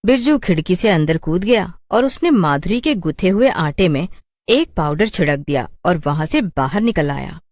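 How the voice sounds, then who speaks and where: A woman reads a scripted story as narrator. Her voice sits a little low for a woman. The recording is very dry, with no room sound. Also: animated, normal speed, darkish tone, no room sound.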